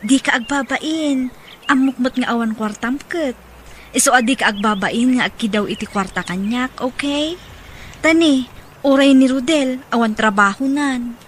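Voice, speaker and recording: An actress playing a brazen, falsely sweet mistress in an Ilocano radio drama, with an Ilocano accent. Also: female voice, high-pitched, normal speed, a little hiss or hum, close to an everyday voice. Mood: condescending.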